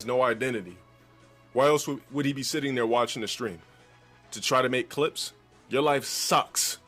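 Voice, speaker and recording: A man with a deep voice ranting insults at a critic in a played-back clip. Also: moderate pace, a bit bright. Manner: insulting, boastful rant. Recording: played clip with faint music.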